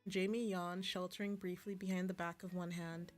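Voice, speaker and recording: A woman's voice: lowish voice, little pitch movement, normal speed. A woman playing a visual novel, reading it aloud and reacting in a casual, slangy, unpolished way. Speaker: video game streamer. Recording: music playing behind the voice. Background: game music.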